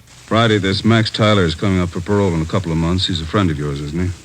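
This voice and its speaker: Man playing a stern police chief, very deep, quick, probing. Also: fairly even intonation, a little hiss or hum, lightly acted, poor audio. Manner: measured, probing questions. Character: stern male chief of detectives.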